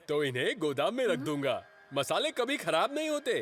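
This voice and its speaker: A man voicing a jovial, shrewd merchant uncle in a dubbed children's cartoon, confident and animated. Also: a little high, measured pace, full character voice. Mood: confident, amused.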